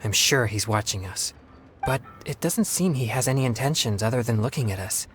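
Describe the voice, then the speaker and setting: An actor playing an earnest young exorcist in a video game, speaking politely and formally with hesitant pauses. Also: male voice, medium pitch, animated, fairly bright tone, slight background noise, close to an everyday voice. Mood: calm, thoughtful. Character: earnest young male exorcist, excitable yet self-controlled.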